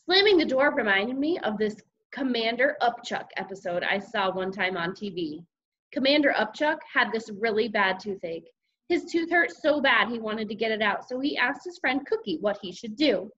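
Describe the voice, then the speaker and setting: An excitable, mischievous young boy, voiced by a woman, tells his own story in a children's audiobook. The voice is high and swings widely with childlike exclamations and grumbles. The recording is a little dull. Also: moderate pace, slight background noise. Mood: eager. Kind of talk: first-person children's audiobook narration.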